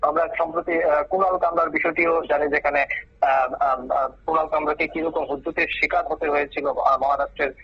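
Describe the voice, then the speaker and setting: A male news correspondent giving an unscripted report over a telephone line, halting often with filled pauses. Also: a little high, steady tone, slightly rough. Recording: dull, muffled telephone line.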